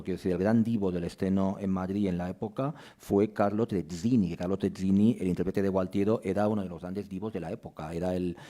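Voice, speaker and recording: Low, dark-voiced man explaining a season. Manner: explanatory, restarts and fillers. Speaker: theatre artistic director presenting a season. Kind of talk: press conference presentation.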